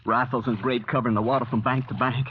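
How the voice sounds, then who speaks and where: A man's voice: medium pitch, level tone, quick pace, natural voice. A man acting a desperate, frightened fugitive thinking aloud in an old-time radio drama. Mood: fearful, desperate. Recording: muffled, noisy vintage radio recording.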